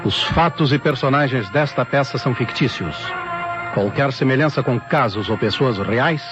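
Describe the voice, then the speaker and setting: A man's voice: medium pitch, moderate pace. A man announcing a radio mystery programme in scripted, old-time broadcast style. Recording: dull old radio recording, loud music.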